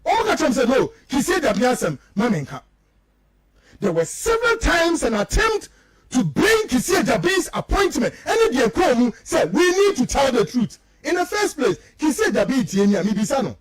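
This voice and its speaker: High-pitched, animated Ghanaian male radio commentator. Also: a little fast. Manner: emphatic, repetitive, rhetorical questioning. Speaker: radio talk show host. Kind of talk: impassioned political commentary.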